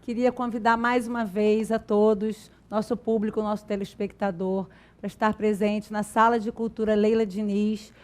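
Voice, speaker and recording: A woman's voice: medium pitch, little pitch movement, moderate pace, hardly any pauses, a little mellow. A Brazilian woman hosting a television interview in a conversational way. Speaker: TV interviewer and host.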